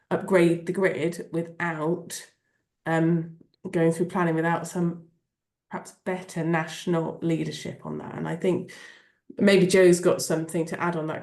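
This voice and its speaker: A woman on a webinar panel, thinking aloud with halting restarts. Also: low-pitched, gravelly, a little mellow, reverberant.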